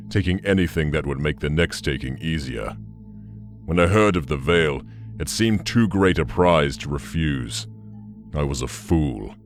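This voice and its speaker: An actor playing an ancient, bitter demon conqueror in an audio drama, brooding and contemptuous in a menacing monologue. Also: male voice, rumbling low, full character voice. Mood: bitter regret. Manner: slow, menacing, brooding delivery.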